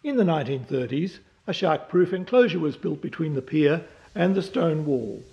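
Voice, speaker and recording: A man giving measured, scripted documentary narration. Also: a little high, lively intonation, creaky, mellow, dull audio. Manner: measured, informative.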